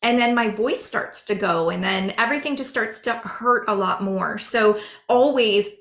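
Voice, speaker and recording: A woman psychic medium chatting on a podcast with a hoarse, raspy voice, explaining earnestly at length. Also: mid-range voice, a bit bright, roomy sound. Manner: rambling, earnest, drawn-out explaining. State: hoarse, losing her voice. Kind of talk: casual podcast chat.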